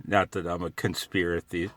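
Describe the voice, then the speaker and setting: A man's voice: low voice, a bit bright, no room sound. An older American man rambling casually to himself on a vlog from inside a parked truck, with a wry, folksy manner. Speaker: amateur radio hobbyist vlogger.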